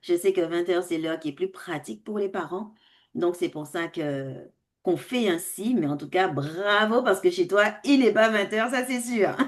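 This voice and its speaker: A woman hosting an online information meeting for parents on a video call, speaking in an animated way, laughing often and changing pace. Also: lowish voice, moderate pace. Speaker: host of a parents' club.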